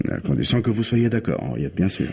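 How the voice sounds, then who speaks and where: An actor playing a scheming, persuasive husband in a French radio drama, with an accent from France and an insistent, coaxing way of reasoning. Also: male voice, lowish voice, very animated, a little fast, creaky, a little mellow, breathy edge, full character voice. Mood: coaxing, decided. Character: scheming, persuasive husband desperate to save his home.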